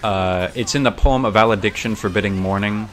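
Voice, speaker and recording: A man's voice: on the low side, fairly quick. A young man giving casual gameplay commentary, rambling thoughtfully and often correcting himself mid-sentence. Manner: rambling, self-correcting, bookish. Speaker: streamer.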